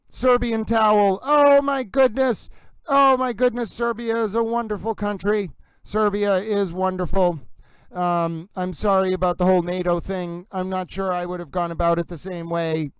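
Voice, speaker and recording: A man's voice: high-pitched, smooth, no room sound, low-quality recording. A man hosting a livestream in a gushing, theatrical singsong manner, a little breathless as he chats with viewers. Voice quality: slightly breathless. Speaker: livestream host. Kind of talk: casual livestream chat with viewers.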